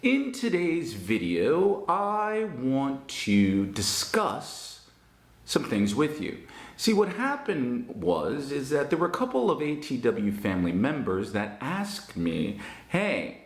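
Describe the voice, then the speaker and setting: A man with a Northern New Jersey accent, giving an online English lesson in a slow, emphatic and encouraging way. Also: mid-range voice, huge pitch swings, echoey room. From United States. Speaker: English teacher.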